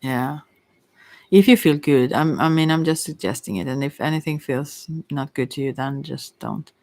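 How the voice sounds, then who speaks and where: A psychic reader offers unscripted spiritual advice in an ASMR style. The voice sits at a middle pitch and sounds very dry, with little room sound. The delivery is gentle and consoling, wandering along with frequent self-corrections. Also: no echo. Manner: halting, rambling, often self-correcting.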